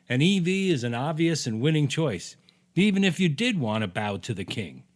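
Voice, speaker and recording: A man's voice: a little high, a bit bright. An American man reading his own opinion piece aloud in a punchy, sarcastic, salty-tongued way, as a podcast host.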